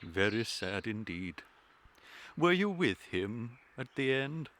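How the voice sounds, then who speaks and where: A man's voice: medium pitch, big pitch swings, fairly bright tone, a little hiss or hum. An actor playing a fussy, kindly middle-aged English clergyman in a radio comedy, pompous and unctuous in manner. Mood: solemn sympathy. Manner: unctuous, deliberate, sermonising. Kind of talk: period stage comedy radio acting.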